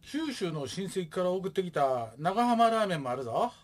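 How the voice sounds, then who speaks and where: Gruff widowed father, male actor, Kansai accent, blunt joker, lively intonation, comic radio play. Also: high-pitched, moderate pace. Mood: easygoing.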